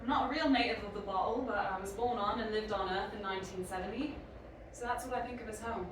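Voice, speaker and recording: A woman's voice: mid-range voice, quick pace, natural voice. A woman playing an anguished young clone who believes she is human, in an audio drama with both dialogue and narration. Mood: somber narration. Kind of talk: audio drama acting and first-person narration. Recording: very echoey, distant mic, noisy.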